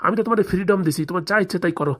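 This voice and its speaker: Comic cartoon older husband, played by a woman: lowish pitch, racing pace, meek, servile, timid grumbler. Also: racing, lightly acted. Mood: placating. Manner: placating, timid, muttering complaints.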